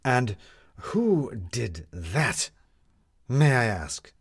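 A man's voice: medium pitch, sing-song, drawn-out words, breathy edge, heavily acted. A man voicing an indignant male schemer on the run in a storybook reading, with a blustering, theatrical, emphatic delivery. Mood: defiant.

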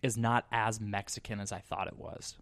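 A man's voice: on the low side, fairly even intonation, moderate pace, gravelly, fairly bright tone. A man hosting a casual podcast, playfully teasing his guest while reading beer reviews aloud. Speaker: podcast host.

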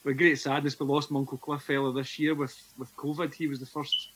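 A man's voice: medium pitch, flat delivery, fairly quick, noisy background. A Scottish man in his forties, a podcast guest telling his personal faith story in an earnest, reflective way. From Scotland. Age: 40s. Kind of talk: personal testimony in conversation.

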